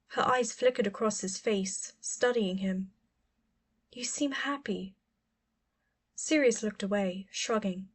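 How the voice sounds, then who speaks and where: A woman narrating a story as an audiobook reader, in scripted prose. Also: medium pitch.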